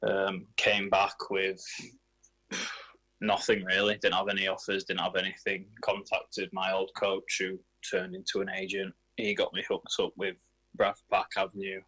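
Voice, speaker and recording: A man's voice: low-pitched, completely flat delivery, moderate pace, sharp tone, rough recording. A man in his twenties, a footballer, answering questions in a casual radio interview. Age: 20s.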